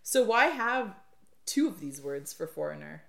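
A woman's voice: on the low side, sing-song, measured pace, gravelly, fairly bright tone. A woman co-hosting a podcast, asking curious questions and reading scripted lines. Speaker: podcast co-host. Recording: echoey room.

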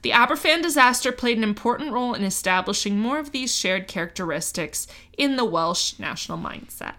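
A woman's voice: a little high, lively intonation, a little fast, sharp tone. A woman co-hosting a podcast, chatting casually and reading from a script, laughing often and stumbling good-naturedly over hard words. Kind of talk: casual chat mixed with read-out narration.

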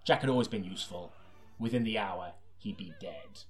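A man reading scripted first-person detective narration as an audiobook, deadpan and self-serious like a noir parody. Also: fairly low pitch, moderate pace, background noise.

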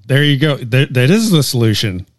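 A man's voice: medium pitch, sing-song, moderate pace, no room sound, clean studio sound. A man co-hosting a podcast in casual political chat, teasing and laughing often. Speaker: podcast co-host.

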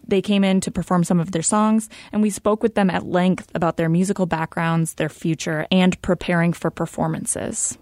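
A woman's voice: lowish voice, a little mellow, no room sound. A woman co-hosting a radio show, chatty and friendly as she asks questions in a studio. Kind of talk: casual studio interview chat.

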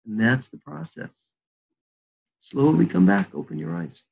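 An older man speaks as a therapist, gently guiding a visualization in an unhurried, soothing way. His voice is husky and rough with fry, and its pitch moves a great deal. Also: very animated, poor audio. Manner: slow soothing guided-meditation delivery. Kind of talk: guided visualization then conversation.

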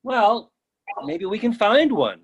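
A man plays a stubborn oddball with a high voice in a comic stage dialogue. He argues his silly logic in an earnest, matter-of-fact way. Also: big pitch swings, slow pace, breathy edge, heavily acted. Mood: earnest insistence. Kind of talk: comic dialogue in a play reading.

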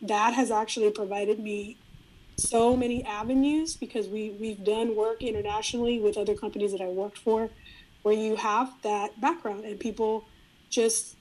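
A middle-aged woman whose first language is Spanish, talking as a guest in a relaxed, reflective interview, often restarting her sentences. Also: mid-range voice, moderate pace, low-quality recording. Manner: reflective, frequent restarts and fillers. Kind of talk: casual interview conversation.

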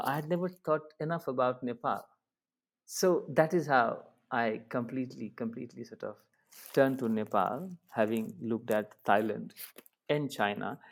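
Indian academic man, halting, fillers and restarts, mid-pitched voice. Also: a little mellow. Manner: hesitant, many fillers and restarts. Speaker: guest academic. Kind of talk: interview answer.

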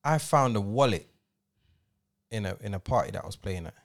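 A man's voice: on the low side, animated, a little fast, vocal fry. A man in his forties, co-hosting a podcast and telling stories in a slangy, emphatic way. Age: 40s. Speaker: podcast co-host, DJ. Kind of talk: casual chat.

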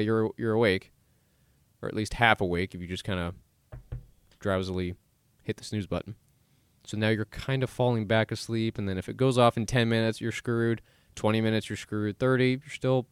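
A man's voice: medium pitch, a bit bright, no room sound. A man hosting a podcast in a studio, chatting casually and cracking jokes while he reads out odd facts. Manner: chatty, joking asides while reading facts. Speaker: podcast host. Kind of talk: casual solo radio talk.

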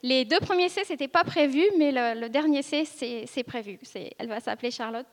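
A woman in her thirties from northern France, giving an earnest, hesitant personal testimony to a church gathering. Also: high-pitched. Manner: earnest, hesitant, with frequent pauses and restarts. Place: church ministry school meeting room. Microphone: seated speaker, filmed for video. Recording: reverberant hall.

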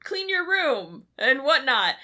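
A woman's voice: high-pitched, sing-song, slow, pushed and bright, exaggerated voice. A woman voicing a fawning, clingy, lovestruck male butler from a cartoon, melodramatic and gushing. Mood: doting.

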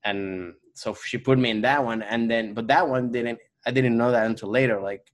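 A man's voice: medium pitch, steady tone, moderate pace. A Venezuelan-born man whose first language is Spanish, a comedian guest chatting on a podcast and rambling with many fillers and restarts. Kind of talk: casual podcast chat.

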